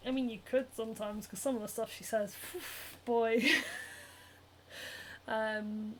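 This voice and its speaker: A giggly young woman with a Northern English accent chats casually on a livestream, reading out viewer comments between lots of ums and likes. Her voice sits a little high, with a faint hiss behind it. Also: rough recording. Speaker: cosplayer and livestream host. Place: home, hand sewing while streaming.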